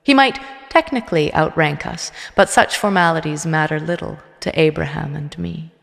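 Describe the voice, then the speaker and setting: A woman's voice: low voice, normal speed, creaky. A woman playing a tense, conflicted soldier who narrates her own story in a scripted, dramatic audio drama style. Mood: tense, hushed. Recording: long reverb, music under the voice. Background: dramatic music.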